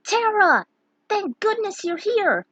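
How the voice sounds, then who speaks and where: Woman as an eager high-voiced street kid. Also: sing-song, takes it slowly, sharp tone, no room sound, muffled recording, full character voice. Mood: eager, pleading.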